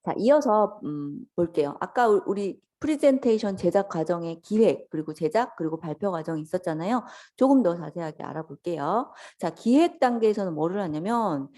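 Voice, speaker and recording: A woman lecturer with a voice hoarse from a cold, politely explaining things step by step to students in a conversational lecture style. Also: fairly low pitch, lively intonation, moderate pace, hardly any pauses, warm tone. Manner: polite and instructional, addressing students directly. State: hoarse from a lingering sore-throat cold. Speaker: lecturer teaching an office software course.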